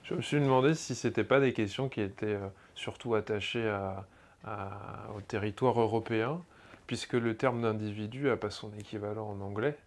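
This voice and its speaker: A man's voice: fairly low pitch, fairly even intonation, normal speed. A man interviewing a guest, putting questions hesitantly with restarts and fillers. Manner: hesitant, searching for words with "euh" and restarts.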